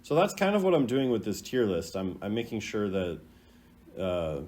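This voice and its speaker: Casting: man as a laid-back commentary host, off the cuff and rambling, with very varied pitch. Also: big pitch swings, normal speed, darkish tone. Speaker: host ranking game voice lines. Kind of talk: casual unscripted commentary.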